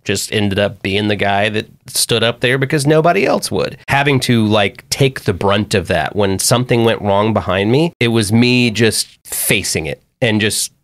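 A middle-aged man with a raspy voice, talking candidly as a musician guest and rambling with many restarts. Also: fairly low pitch, moderate pace, hardly any pauses. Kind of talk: candid interview talk.

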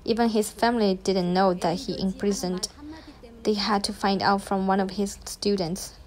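A middle-aged woman speaks in earnest, careful English, with a few small grammar slips. Her delivery stays level and even, with little rise or fall. She sounds like she is answering questions in a small indoor room. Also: mid-range voice, moderate pace. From North Korea. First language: Korean. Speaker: interviewee, defector. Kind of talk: interview answers.

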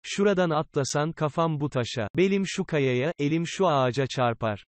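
A man's voice: a little high, moderate pace, dry recording, lightly acted. Man acting pleading poor villager, dry close sound. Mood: despairing. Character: poor, anxious married villager, bargaining humbly with a king. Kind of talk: cartoon fairy-tale dialogue.

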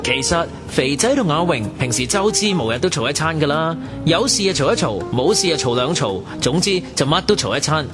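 A man's voice: on the high side, sing-song, brisk, few pauses. A man with a Hong Kong Cantonese accent playing a chatty young narrator who talks wryly about his friends in a radio drama. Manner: wry, colloquial storytelling. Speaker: narrator and character. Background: music.

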